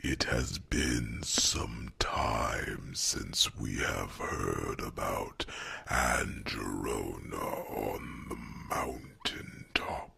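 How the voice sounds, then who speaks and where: A man voicing a gentle, wise, protective dragon in an ASMR fantasy roleplay, speaking deliberately in a gravelly, breathy half-whisper with musing hums. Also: extremely low pitch, a little hiss or hum, exaggerated voice. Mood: soothing, reassuring.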